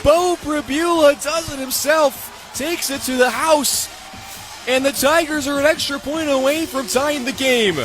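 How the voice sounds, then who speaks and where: A young American man calling live football on the radio as play-by-play announcer, in punchy, clipped, excitable phrases. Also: very high-pitched. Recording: live stadium ambience noise.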